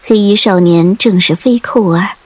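A woman's voice: medium pitch, moderate pace, darkish tone, no room sound, rough recording. A woman narrating an audiobook novel in scripted, atmospheric storytelling. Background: rain and faint music.

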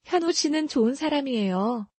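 A woman reading short phrase prompts for a language lesson in a crisp, businesslike way, as a dry studio voice-over. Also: sits high, expressive, rapid-fire, darkish tone, no room sound. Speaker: Korean phrase reader.

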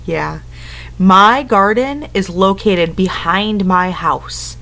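A woman in her thirties reads to small children in a teacher's manner, at a medium pitch. She keeps her phrasing simple and mixes the book's text with questions and asides to her listeners. It is recorded at home in a living room. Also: slow, vocal fry, sharp tone. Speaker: teacher reading aloud to children. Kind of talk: picture-book read-aloud with asides and questions to young listeners.

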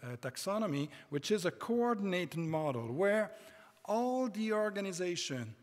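A middle-aged French Canadian man speaks at a podium microphone, his voice pitched a little high for a man. He lectures haltingly, with many pauses and restarts. Long reverb from the large conference hall trails his words. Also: big pitch swings. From Quebec, Canada.

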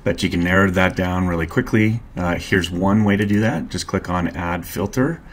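A middle-aged man narrating a screen-share tutorial, calmly explaining each step and click as a marketing instructor. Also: deep voice, normal speed, slight background noise. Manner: calm, explanatory, walks through clicks step by step.

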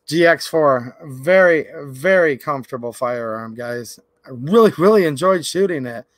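A man in his thirties hosting a livestream, talking casually and earnestly to his viewers, his voice a little raspy from cold and pollen. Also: fairly high pitch, animated, normal speed, no echo. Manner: earnest, rambling, repeats "literally" and "like". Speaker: channel host. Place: indoor workshop or garage.

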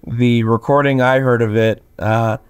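A young man, a tenor with a voice lowered from just waking, talking casually and haltingly as an actor being interviewed. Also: steady tone, clean tone, no echo. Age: young adult. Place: plain small room indoors, video call.

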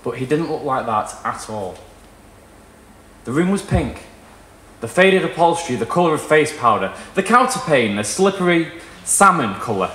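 A young man reading a literary short story aloud in a measured, dramatic way. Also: mid-range voice, a bit bright, echoey room. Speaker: reader at a live short story event. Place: small indoor performance room with wood panelling.

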